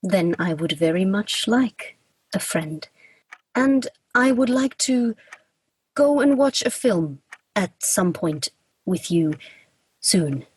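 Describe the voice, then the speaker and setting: A woman acting Death in a radio play as a formal, literal, reserved figure with stiff, measured diction. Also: medium pitch, lively intonation, a little mellow, breathy edge, heavily acted, rough recording. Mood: reserved, earnest.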